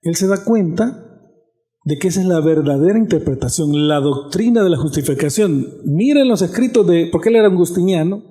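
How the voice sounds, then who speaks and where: A man's voice: high voice, lively intonation, a little fast, warm tone. A man pastor giving a conversational sermon on church history, often restarting phrases and checking in with listeners.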